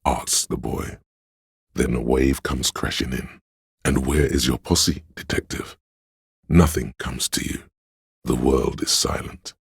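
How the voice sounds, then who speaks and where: A man voicing a grave, all-knowing narrator in a detective video game, measured and solemn, with a gravelly, husky voice. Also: extremely low pitch, steady tone, no echo, clean studio sound, full character voice. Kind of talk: scripted dramatic narration.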